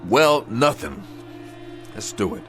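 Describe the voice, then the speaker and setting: An actor playing a proud, hot-tempered, aging has-been boxer in a radio drama, with gruff, slangy, confrontational talk. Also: male voice, medium pitch, big pitch swings, takes it slowly, fairly bright tone, full character voice. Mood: bitter, resigned. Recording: dramatic music behind.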